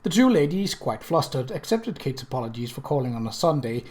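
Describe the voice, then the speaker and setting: A man reading a period mystery novel aloud as scripted narration, with measured storybook phrasing. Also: medium pitch, very animated, a little mellow. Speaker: audiobook narrator.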